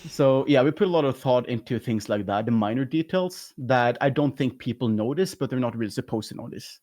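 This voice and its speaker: A man's voice: mid-range voice, a little fast, darkish tone. A man with a light voice, a talkative guest in a casual interview who rambles and laughs often. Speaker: guest, YouTube content creator.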